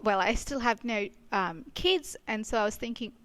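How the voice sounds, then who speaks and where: A woman's voice: a little high, moderate pace, a bit bright, dry recording. Chatty thirty-something woman, dry sound. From Kenya. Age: 30s. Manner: chatty, many fillers and restarts. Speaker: interview guest, speech pathologist. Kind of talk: casual interview chat. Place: regional town in Australia.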